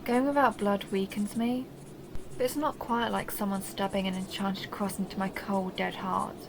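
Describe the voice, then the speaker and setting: A woman's voice: mid-range voice, steady tone, moderate pace, vocal fry, background noise, natural voice. A woman playing a seductive, possessive vampire countess who teases and condescends in an intimate audio roleplay monologue. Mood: musing, taunting. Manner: teasing, mocking, intimate purring address.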